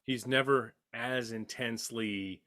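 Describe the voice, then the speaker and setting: A man's voice: mid-range voice, fairly even intonation, a little slow, pushed and bright. A man in casual podcast discussion, speaking with emphatic, dramatic phrasing and often repeating words for weight. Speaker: podcast panelist.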